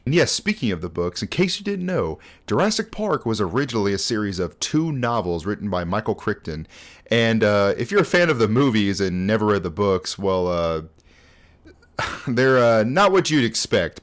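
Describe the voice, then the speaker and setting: A young man in his twenties hosting a casual, conversational video essay, talking informally through scripted commentary. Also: on the low side. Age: 20s. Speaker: host.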